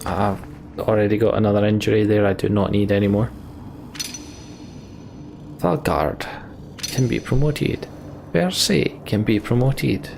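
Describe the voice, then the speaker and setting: A man's voice: fairly low pitch, unhurried, vocal fry, long pauses, darkish tone, slight background noise. A man giving casual commentary as he plays a game, muttering dry, understated reactions. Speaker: let's play streamer. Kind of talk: casual game commentary and reading dialogue aloud. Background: game music.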